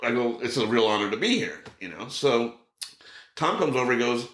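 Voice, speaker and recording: A middle-aged man telling a story in casual chat, acting out quotes with a raised voice. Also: normal speed. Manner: animated, acts out quotes with raised pitch. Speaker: podcast guest. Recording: roomy echo.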